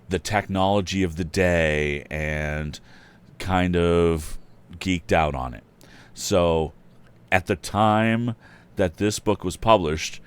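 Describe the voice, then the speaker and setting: A man with a deep, resonant voice introduces a read-aloud in a casual, chatty style. He muses informally and is a little self-deprecating, like a host speaking off the cuff. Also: clean tone. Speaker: storyteller, host reading books aloud.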